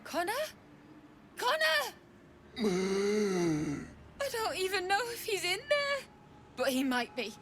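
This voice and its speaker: An actress playing a desperate, grieving young widow in a video game, anguished and emotional. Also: female voice, very high-pitched, huge pitch swings, slow pace, long pauses, background noise, exaggerated voice. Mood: horrified grief.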